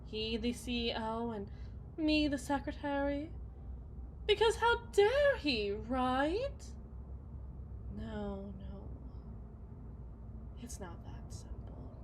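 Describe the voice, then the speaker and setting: A woman playing a bitter, self-loathing wife and mother in an ASMR roleplay, speaking in a theatrical, halting, rambling lament. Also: high voice, very animated, noisy background, exaggerated voice. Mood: bitter, tearful.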